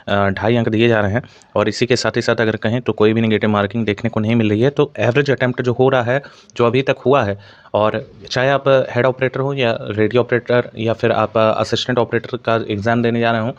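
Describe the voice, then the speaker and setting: A man's voice: mid-range voice, lo-fi sound. A man explaining exam information to students as a channel host, in an explanatory way with repeated stock phrases.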